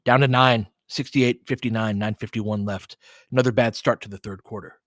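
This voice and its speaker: A man's voice: fairly low pitch, fairly even intonation, normal speed, a bit bright, no echo, clean studio sound. A man doing casual live basketball play-by-play as a stream host, speaking conversationally in short, clipped phrases. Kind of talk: casual live basketball commentary with fan chat.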